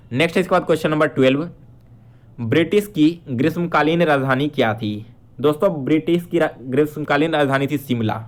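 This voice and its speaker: Higher-pitched man teaching exam questions. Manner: explanatory, with frequent check-in tags and English terms mixed in. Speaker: exam-prep teacher and quiz presenter. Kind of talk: instructional quiz walkthrough, addressing students.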